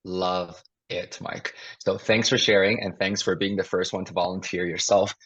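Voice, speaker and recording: A man's voice: deep voice, flat delivery, normal speed, fairly bright tone, husky. A man from Taiwan whose first language is Mandarin, hosting a live investing webinar over a video call in an upbeat, chatty, self-deprecating way. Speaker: investing mentor and webinar host. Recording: dull lo-fi video call audio.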